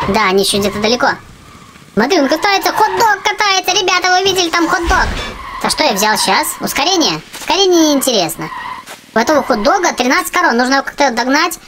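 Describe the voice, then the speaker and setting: An excitable gaming host giving casual gameplay commentary for children, often exclaiming and addressing viewers. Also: mid-range voice. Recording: music behind the voice.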